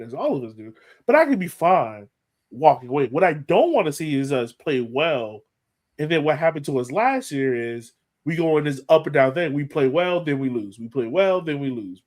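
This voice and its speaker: A man co-hosting a sports podcast, talking casually and thinking aloud as he gives his opinions on soccer. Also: medium pitch. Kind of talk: casual soccer analysis chat.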